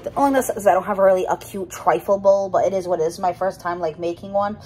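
A woman's voice: mid-range voice, fast talker, warm tone, a little hiss or hum. A young woman chatting casually to her vlog audience at home, talking loosely with lots of "like" and restarts. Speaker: vlogger. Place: home kitchen.

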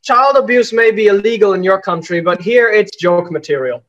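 Young man, high voice, deadpan comic on a muffled webcam feed. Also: moderate pace. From Bangladesh. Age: young adult. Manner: deadpan, dry delivery with frequent uh fillers. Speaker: stand-up comic. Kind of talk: stand-up set on a video call. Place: small room indoors at home. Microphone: laptop webcam on a video call. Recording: muffled video-call audio.

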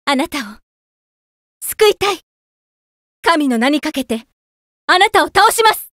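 A woman voicing a devout young female warrior in a fighting game, polite and earnest, with sharp battle cries. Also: high-pitched, expressive, measured pace, no room sound, studio quality. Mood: soft. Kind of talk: game voice lines, battle shouts.

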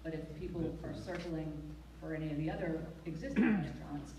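A woman traffic engineer giving measured, technical answers at a public hearing in a large auditorium. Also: low voice, warm tone. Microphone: far room mic. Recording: echoey hall, distant, noisy.

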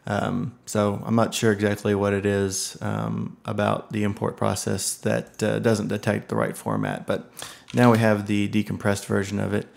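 A man with a low voice that has a heavy gravelly fry talks through a software setup at his computer. He is relaxed and unhurried, filling gaps with ums and uhs as he clicks along. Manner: relaxed, many ums and uhs, thinks aloud. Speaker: software tutorial presenter. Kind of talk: casual screen-recorded tech walkthrough.

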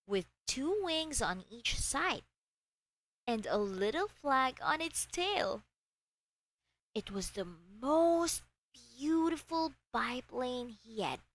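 A woman reading a children's book aloud in an expressive storytelling style. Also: a little high.

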